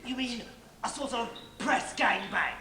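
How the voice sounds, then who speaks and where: A woman's voice: a little high, a little slow, natural voice. Woman doing a cheeky jaunty pirate sailor lad, panto, echoey and really noisy stage tape. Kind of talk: amateur stage pantomime acting. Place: theatre stage.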